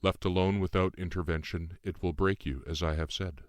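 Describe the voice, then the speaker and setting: A man voicing a calm, calculating otherworldly being in a formal, precise, didactic way for an audiobook. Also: low-pitched, moderate pace, no echo, studio quality, close to an everyday voice. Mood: coolly insistent. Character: Lucien, calm calculating otherworldly being in young man's form. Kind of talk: audiobook dialogue.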